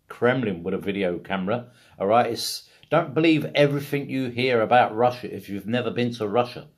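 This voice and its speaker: A British man in middle age talks casually, like a video blogger recounting his own experiences. His delivery is rambling yet blunt and emphatic. He swears freely as he goes. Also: mid-range voice.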